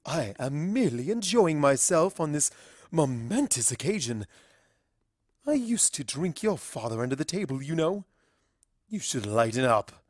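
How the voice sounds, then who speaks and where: Woman playing a tipsy, grandiose low-voiced pony queen. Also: very animated, a little slow, darkish tone, no room sound, clean studio sound, exaggerated voice. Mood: tipsy, merry. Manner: pompous, theatrical, drunken. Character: Celestia, grandiose, tipsy regal mother pony princess. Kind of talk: acted fan fiction dialogue.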